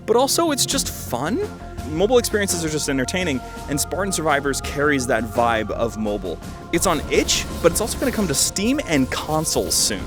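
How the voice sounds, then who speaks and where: A man's voice: a little high, big pitch swings, a little fast, music in the background. A man giving casual, enthusiastic video game commentary as a host, stressing points by repeating words.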